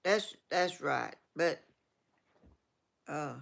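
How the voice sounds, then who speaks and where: A woman's voice: low voice, lively intonation, extremely slow, vocal fry. A woman in her eighties with a Georgia Southern accent, chatting in a rambling way, trailing off and restarting as she reads comments to her viewers. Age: 80s. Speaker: vlogger reading viewer comments. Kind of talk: casual rambling chat.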